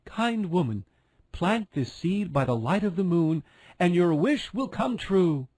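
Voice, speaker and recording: A man's voice: high-pitched, sing-song, unhurried, a little mellow, no room sound, voice pushed up, exaggerated voice. A man voicing a kindly, magical female fairy who grants wishes in a storybook. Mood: kindly, assuring. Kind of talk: storybook character voice.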